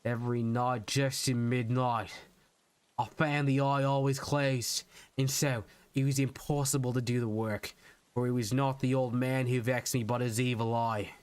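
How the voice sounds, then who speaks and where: A man voicing an unhinged, proud murderer in a Gothic horror monologue, speaking in a theatrical, deliberate way with emphatic pauses. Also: medium pitch, little pitch movement, clean tone, dry recording, lightly acted. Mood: sly, boastful. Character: unhinged murderer narrator, proud, insisting he is sane. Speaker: VTuber practicing voice acting.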